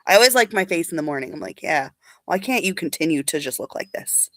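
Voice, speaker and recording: A woman's voice: sits low, lively intonation, quick pace, a bit bright, no room sound. A young woman chatting casually on a livestream, sniffly from allergies and rambling as she answers comments. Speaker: beauty vlogger.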